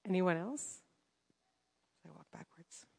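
A woman leading a sharing time in a church, speaking hesitantly and thoughtfully with many pauses. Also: lowish voice, creaky, breathy edge. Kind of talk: casual congregational talk. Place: church hall. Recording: echoey church hall.

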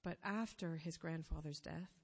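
A woman giving a sermon, telling a story in an earnest, measured way, pausing phrase by phrase. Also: low-pitched. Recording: long reverb of a hall.

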